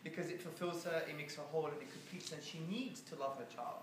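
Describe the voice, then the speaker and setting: Male speaker answering questions live, earnest with jokes, high voice, far off in a roomy hall. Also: fast talker, slight background noise. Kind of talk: live Q&A talk. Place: lecture room. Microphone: phone recording from the audience. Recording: echoey room heard from afar.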